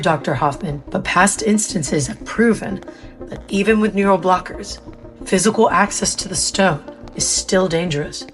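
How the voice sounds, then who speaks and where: A woman playing a composed, officious official at a secretive installation in an audio drama, formal and measured. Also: medium pitch, lightly acted, low-quality recording.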